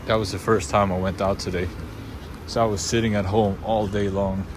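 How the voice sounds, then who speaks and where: Young Swiss man, Swiss German accent, slightly low voice, chatty vlogger, noisy car recording. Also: flat delivery, normal speed. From Switzerland. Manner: loose, unscripted, many fillers and restarts. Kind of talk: casual rambling monologue.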